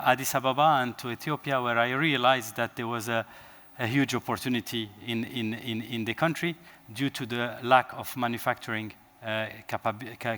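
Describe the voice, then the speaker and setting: A man from Morocco answering questions on a conference panel, halting with many fillers and restarts. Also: mid-range voice, bright, forceful tone. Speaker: panelist, fund co-founder. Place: conference stage.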